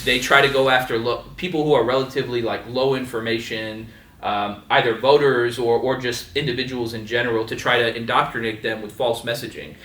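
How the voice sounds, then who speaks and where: A man's voice: medium pitch, fairly even intonation, brisk, fairly bright tone, roomy sound, distant mic, slight background noise. A man on a discussion panel who lays out long, earnest points and keeps checking in with "right?". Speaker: panel guest.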